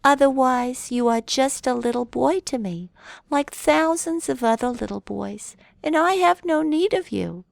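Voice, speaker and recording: A woman voicing a gentle, coy female fox in a children's storybook, speaking in a deliberate, lilting way. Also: sits high, vocal fry, no room sound, studio quality, voice pushed up. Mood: earnest, lilting.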